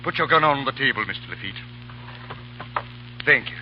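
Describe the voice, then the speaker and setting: An actor playing a blunt, fiery general in a 1930s radio drama, talking in a gruff, commanding way. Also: male voice, mid-range voice, expressive, normal speed, natural voice. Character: stern older male general, blunt and fiery. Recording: muffled old radio broadcast with hiss.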